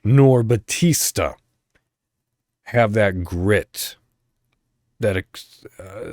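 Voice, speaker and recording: A man hosting a podcast solo, chatting casually and thinking out loud in a rambling way. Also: low-pitched, no echo, clean studio sound. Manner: rambling, thinking aloud, mild swearing. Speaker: podcast host.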